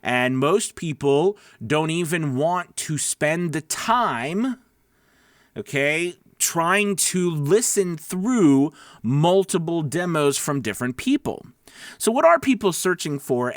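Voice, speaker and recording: A man's voice: fairly high pitch, lively intonation, takes it slowly, no echo. Man hosting an advice vlog, dry sound. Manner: conversational, emphatic, checks in with "right?" and "you know". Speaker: host of a voice-over advice show. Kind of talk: instructional vlog talk to viewers.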